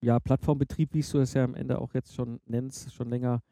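A man interviews a guest in a relaxed, conversational way. His voice is very mellow and sits at a middling pitch. The sound is dry, with no room to it. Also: fairly quick, no room sound. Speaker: podcast host, interviewer.

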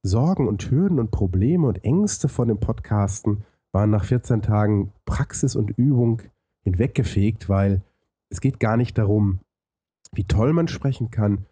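A man speaks with a very mellow, slightly low voice and an audible lisp. He answers like an interview guest, laying out his story in structured points with hesitations. The recording is very dry. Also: a little slow, breathy edge, dry recording. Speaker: interview guest, podcaster and consultant.

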